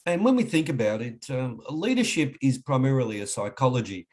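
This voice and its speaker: A middle-aged man with an Australian accent, husky from a cold, giving an online webinar lecture in a halting way with many restarts. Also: medium pitch, sing-song, a little mellow. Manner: halting, frequent restarts and fillers. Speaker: webinar presenter.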